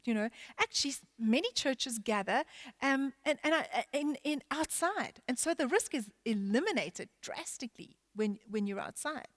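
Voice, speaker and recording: A woman's voice: high voice, expressive, moderate pace, no room sound, studio quality. A woman church leader speaking in a church panel discussion, thinking aloud with many restarts and fillers.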